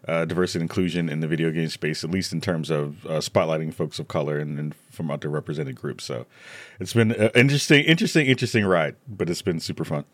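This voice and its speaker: A man's voice: rumbling low, quick pace, fairly bright tone, dry recording, studio quality. A man speaking as a podcast guest, chatting casually and animatedly, repeating words for emphasis.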